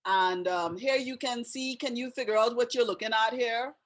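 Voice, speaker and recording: A woman's voice: on the high side, steady tone, moderate pace. A woman with a Trinidadian accent, hosting a webinar over a video call in a chatty way and asking her guests questions. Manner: chatty, laughs often, asks questions. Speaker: webinar host.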